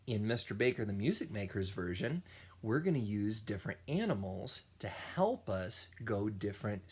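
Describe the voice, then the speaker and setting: A man who teaches children music, reading a picture book aloud in a deliberate, animated storytelling style. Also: on the low side. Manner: slow, deliberate storytelling with sung and imitated sounds.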